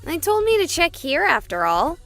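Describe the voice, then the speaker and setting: A woman's voice: very high voice, sing-song, moderate pace, fairly bright tone, soft background music, exaggerated voice. An actress voicing a skeptical, exasperated young female game streamer in a visual novel. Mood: frustrated.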